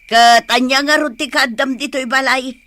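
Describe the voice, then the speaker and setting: A woman's voice: sits high, steady tone, moderate pace, pushed and bright, dry recording. A woman playing a brusque, chatty, money-minded grandmother in a village comedy radio drama, exclaiming and scolding.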